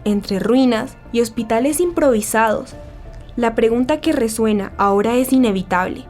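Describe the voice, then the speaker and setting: A grave newsreader in a 1940s radio drama , acted by a woman, with a fairly high voice. The delivery is formal, scripted and dramatic, with faint music behind it. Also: moderate pace, darkish tone, natural voice. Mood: solemn, grave. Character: solemn 1940s radio newsreader, a woman, reporting war's end. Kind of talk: scripted radio news narration.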